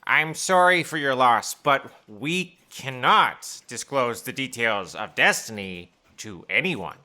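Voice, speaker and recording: A woman's voice: extremely low pitch, expressive, slow, bright, forceful tone, heavily acted. A woman playing an officious, dramatic, rule-quoting copy aide in a comic sci-fi audio drama.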